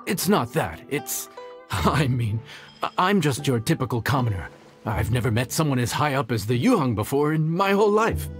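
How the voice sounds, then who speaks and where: An actor playing a friendly, humble young inn chef in a video game, folksy and easily flustered. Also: male voice, medium pitch, sing-song, moderate pace, natural voice. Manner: affable, folksy, slightly nervous. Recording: game music behind the voice.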